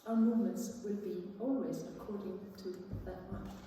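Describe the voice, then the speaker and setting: Echoey, mellow woman presenting. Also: medium pitch, steady tone. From Iceland. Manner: informal, halting, explanatory. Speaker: music teacher and viola player presenting. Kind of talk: conference talk with audience questions. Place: large indoor conference hall. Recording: echoey hall from far off, faint noise.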